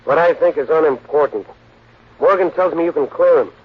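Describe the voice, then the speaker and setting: Husky, hard-nosed 1940s investigator. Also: male voice, fairly high pitch, a little fast. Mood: firm, commanding. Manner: brusque, skeptical, commanding. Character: shrewd, hard-nosed male investigator protecting his client. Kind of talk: 1940s radio drama acting. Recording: muffled 1940s radio recording.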